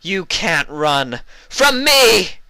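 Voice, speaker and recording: An eerie villainous mare from a storybook, performed by a man. The voice is raised and forceful, taunting and menacing, with words drawn out for threat. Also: high-pitched, big pitch swings, extremely slow, no room sound, voice pushed up, full character voice. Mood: taunting menace.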